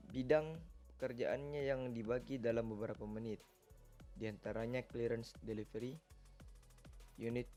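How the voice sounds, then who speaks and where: A man's voice: medium pitch, dark tone. A man reading a scripted educational narration in a formal manner, as the narrator of an explainer video. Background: music.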